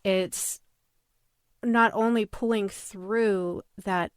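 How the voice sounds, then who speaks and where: A woman's voice: medium pitch, fairly even intonation, drawn-out words, clear, resonant tone, no room sound, studio quality. A woman co-hosting a podcast in casual, analytical discussion. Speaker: podcast co-host.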